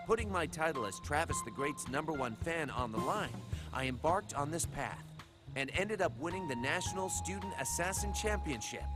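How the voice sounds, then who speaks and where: Video game antihero, male actor: cocky, bemused punk assassin, wry and loose with animated pitch, over prominent music. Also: close to an everyday voice. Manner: wry, casual, incredulous. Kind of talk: video game cutscene acting.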